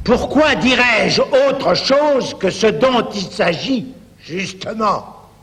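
A man giving a slow, emphatic lecture in a reverberant hall recording. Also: high voice, lively intonation, fairly bright tone, slight background noise.

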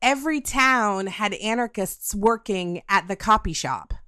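A middle-aged woman interviewing in a casual, conversational way, halting and repeating words as she thinks aloud. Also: medium pitch, lively intonation, sharp tone, smooth, no echo, clean studio sound. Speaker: podcast interviewer.

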